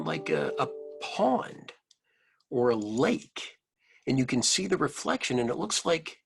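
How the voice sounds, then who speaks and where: A man reading a picture book aloud to children, adding simple explanatory asides in an expressive manner. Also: fairly high pitch, creaky, husky.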